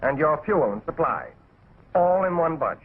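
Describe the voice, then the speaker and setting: An actor playing a bossy, folksy, practical camouflage instructor in a 1940s animated military training film, colloquial and husky as he gives orders. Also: a little high, measured pace, full character voice. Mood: instructive. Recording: tinny, band-limited 1940s film soundtrack.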